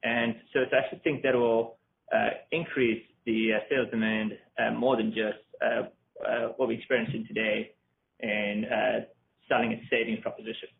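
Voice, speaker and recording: A gravelly-voiced man talking off the cuff as an executive on an earnings call, stop-start and repeating himself. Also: mid-range voice, level tone, normal speed. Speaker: company executive. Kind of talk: unscripted talk on an earnings call. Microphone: conference call line. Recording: phone-like line, faint noise.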